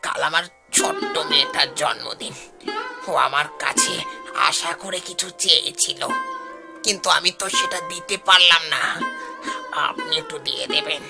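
Humble ghost of a village carpenter, played by a man, higher-pitched, polite and pleading, cartoon horror, clear music underneath. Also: huge pitch swings, normal speed, heavily acted. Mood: sorrowful pleading. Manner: polite, plaintive, earnest. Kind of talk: animated horror story voice acting. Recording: music behind the voice.

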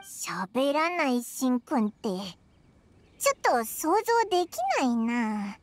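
A woman voicing a tiny, childlike fairy companion in a video game, cheeky, curious and excitable, with brash, boyish casual speech. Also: a little high, slow. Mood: curious, puzzled. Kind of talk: animated video game dub acting.

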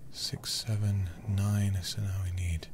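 Male game streamer, very low gravelly voice, hushed ASMR delivery with plenty of swearing. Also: little pitch movement, takes it slowly, noisy background. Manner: hushed, often whispering, swears freely. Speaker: streamer playing a horror game. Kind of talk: ASMR game livestream commentary.

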